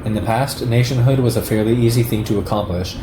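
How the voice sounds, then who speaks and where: A man reading a political essay aloud in a measured, lecturing manner. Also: medium pitch. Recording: echoey room with clear background noise.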